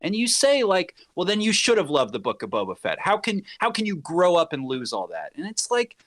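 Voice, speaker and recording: A man in his thirties talks as a guest on a casual podcast. His voice sits a little high and moves around a lot in pitch. He is emphatic and informal, in a dry recording. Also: brisk, dry recording. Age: 30s. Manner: animated, emphatic, profane. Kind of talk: casual chat.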